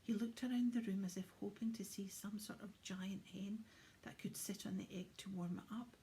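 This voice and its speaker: A woman narrating a children's storybook in an expressive, often hushed and dramatic way. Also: mid-range voice, moderate pace, a little hiss or hum. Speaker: storybook reader.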